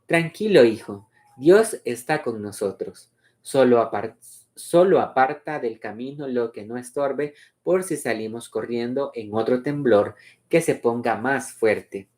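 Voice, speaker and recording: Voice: mid-range voice, a little slow, a little mellow, lightly acted. Narrator voicing an anxious storybook mother. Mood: reassuring, urgent. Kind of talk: storybook read-aloud dialogue.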